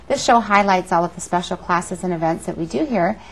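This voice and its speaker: A woman's voice: on the low side, animated, a little fast, slightly rough, poor audio. A woman hosting a talk show, introducing her guest and asking questions. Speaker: show host and interviewer. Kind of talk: interview, introducing and prompting a guest.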